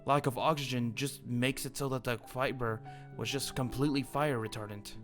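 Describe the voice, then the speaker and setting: A man's voice: medium pitch, steady tone, moderate pace, soft background music. A man improvising a school headmaster in a game roleplay, explaining things at length in a chatty way.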